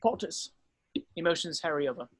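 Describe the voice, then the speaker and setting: High-voiced man narrating a script. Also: steady tone, fast talker, a bit bright, a little hiss or hum. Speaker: narrator reading stage directions. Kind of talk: scripted narration at a table read.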